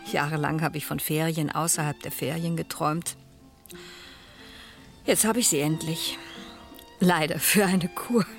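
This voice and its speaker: An actress in a 1970s German radio play, playing a reserved, reflective retired schoolteacher in her sixties, measured and dry. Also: deep voice, steady tone, creaky, soft background music.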